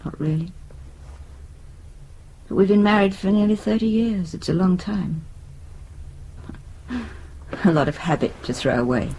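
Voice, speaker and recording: A woman's voice: lowish voice, unhurried, pauses often, dark tone, breathy edge, poor audio. An actress playing a resigned, anxious middle-aged British wife and mother in a naturalistic radio drama, hesitant and wistful. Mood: reflective.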